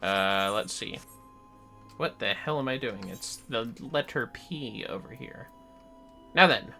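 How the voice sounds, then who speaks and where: A man's voice: medium pitch, slow pace, vocal fry, sharp tone. A man chatting casually on a gaming livestream, sweary and playfully theatrical, with music behind him. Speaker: livestreaming gamer.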